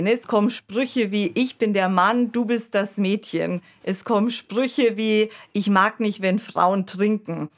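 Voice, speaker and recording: A woman's voice: mid-range voice, fairly bright tone. A woman co-hosting an analytical conversation, explaining thoughtfully and checking in with short tag questions. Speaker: podcast co-host.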